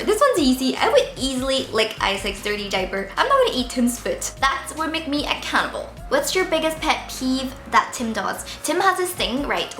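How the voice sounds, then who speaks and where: Woman vlogger in her twenties, squeaky and slightly husky from a sore throat, bright tone, roomy echo with faint music underneath. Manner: chatty, playful, giggly. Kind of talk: casual Q&A vlog. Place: indoors at home, seated by window light.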